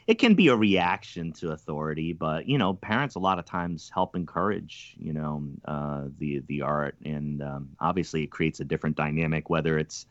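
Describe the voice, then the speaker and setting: A man hosting a podcast interview, talking in a halting way with many ums and restarts. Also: a bass voice, dry recording, lo-fi sound. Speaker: podcast host.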